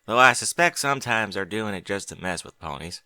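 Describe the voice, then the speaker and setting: A man's voice: fairly low pitch, expressive, fairly quick, pushed and bright, no echo. A man voicing a friendly, down-to-earth country pony mare with an easygoing drawl.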